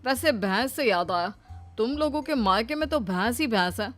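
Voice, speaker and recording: A woman's voice: on the high side, lively intonation, normal speed, a bit bright, soft background music. A woman voicing a bossy middle-aged father-in-law in a cartoon story, with a commanding, teasing manner. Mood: sly, chatty. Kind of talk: cartoon moral-story acting.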